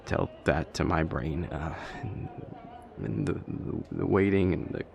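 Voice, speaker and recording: A man playing a nervous vampire boyfriend who is afraid of flying, speaking haltingly with stammers in an intimate audio roleplay. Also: low-pitched, creaky, a little mellow, heavily acted. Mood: nervous, uneasy.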